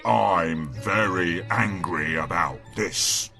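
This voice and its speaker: A man voicing a big, stern father bear with a husky voice in a storybook. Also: deep voice, takes it slowly, pushed and bright, faint music behind, exaggerated voice. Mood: grumbling.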